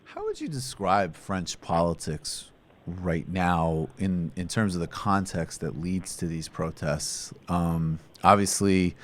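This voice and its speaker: A male host with a very low voice leads a political interview. He asks long, rambling questions in an animated, sardonic tone.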